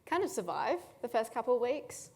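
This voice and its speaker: A woman's voice: a little high, big pitch swings, fairly quick, a little mellow. Chatty woman student, hall echo. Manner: chatty, lots of "like". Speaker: student panelist. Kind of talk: casual panel talk. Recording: long hall reverb.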